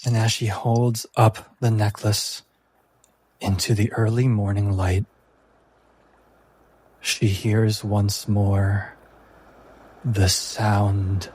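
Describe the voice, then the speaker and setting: A man working as game master, narrating a tabletop game in a solemn, deliberate, dramatic way with pauses. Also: lowish voice, little pitch movement, darkish tone, husky.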